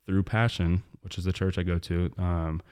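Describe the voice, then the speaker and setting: A young man from Missouri with a very low voice, a guest on a faith podcast. He talks in a loose, rambling way, full of restarts and fillers. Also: flat delivery, normal speed, darkish tone, smooth. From southwest Missouri. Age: young adult. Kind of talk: casual faith podcast interview.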